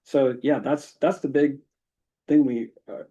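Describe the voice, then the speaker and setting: Man chairing a meeting, easygoing, dark mellow tone, dull lo-fi recording. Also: medium pitch, fairly even intonation, measured pace. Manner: easygoing, affirming.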